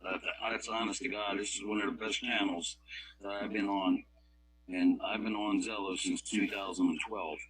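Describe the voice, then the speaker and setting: A man's voice: lowish voice, steady tone, normal speed, fairly bright tone, poor audio. A man calling in to a radio club net, chatting casually in a plain-spoken, folksy way. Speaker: club member calling in on a walkie-talkie app.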